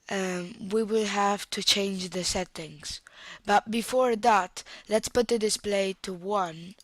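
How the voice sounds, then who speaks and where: A young woman with a strong Italian accent and a cold walks through a software tutorial, thinking aloud as she clicks. She speaks very slowly in a mid-range voice. The sound is close and dry, with mouse clicks nearby. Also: mid-range voice, no echo. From Italy. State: has a cold. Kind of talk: screen-recorded software tutorial.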